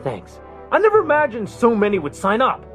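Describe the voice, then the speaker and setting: A man's voice: extremely high pitch, huge pitch swings, fairly quick, a bit bright, soft background music, exaggerated voice. A man playing an upbeat, cocky young robot captain in a motion comic, breezy and teasing. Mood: pleased, boastful. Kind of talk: motion comic character acting.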